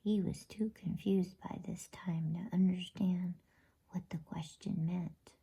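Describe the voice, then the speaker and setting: A woman whispering an ASMR bedtime story as a storyteller, with a breathy voice. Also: fairly low pitch, steady tone, takes it slowly, warm tone.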